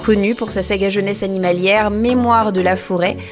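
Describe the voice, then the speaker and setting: A woman's voice: mid-range voice, fairly even intonation, normal speed, music in the background. Woman narrating a vlog voice-over. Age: 30s. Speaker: vlogger.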